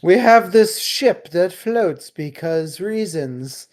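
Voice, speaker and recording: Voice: medium pitch, expressive, slow, a little mellow. A podcast co-host chatting informally and casually about books. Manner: informal, laughs often. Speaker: book discussion co-host. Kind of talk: casual chat, book discussion.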